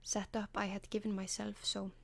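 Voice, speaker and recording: An Icelandic-accented woman whispers advice close and hushed, in the manner of an ASMR video. Her tone stays level with little rise or fall, and she hesitates often with soft ums. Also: mid-range voice, monotone, moderate pace, creaky. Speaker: ASMR advice column host.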